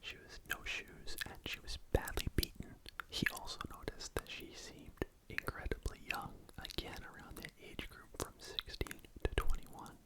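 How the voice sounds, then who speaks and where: A person telling a true crime story in a breathy, unvoiced ASMR whisper, with a casual, conversational manner. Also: normal speed, low-quality recording. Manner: casual, conversational retelling with repetition.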